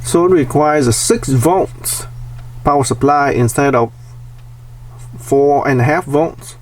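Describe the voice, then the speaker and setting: A man's voice: medium pitch, slow, darkish tone. Guy doing a casual diy walkthrough, plain short phrases, bit far from the mic, some background noise. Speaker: DIY tutorial host. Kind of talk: casual hands-on demonstration narration.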